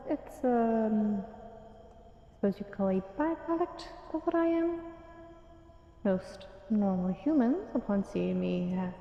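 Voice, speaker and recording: A woman voicing a courteous, eerie fae librarian in an intimate audio roleplay, softly musing and hesitant, with an echo effect on the voice. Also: fairly high pitch, muffled recording. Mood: wistful, resigned. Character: gentle, courteous, eerie fae librarian, ancient otherworldly being. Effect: echo added to voice. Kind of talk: audio roleplay, intimate one-sided dialogue to listener. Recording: echoing voice with long reverb.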